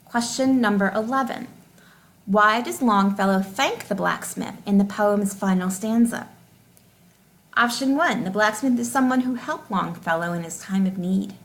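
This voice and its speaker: A woman reading test questions and answer options aloud as a teacher, deliberately and with each option set clearly apart. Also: mid-range voice.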